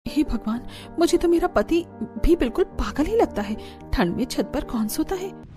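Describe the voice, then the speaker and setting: A woman's voice: high voice, lively intonation, moderate pace, mellow, soft background music, voice pushed up. A woman voicing a bewildered, exasperated young newlywed bride in a cartoon story, whiny and incredulous in her complaining.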